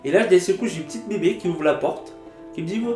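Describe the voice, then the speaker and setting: A man with a cold, telling a story in a casual vlog in a lively, animated way with sound effects. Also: high voice, fast talker, echoey room, soft background music. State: has a cold. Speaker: vlogger.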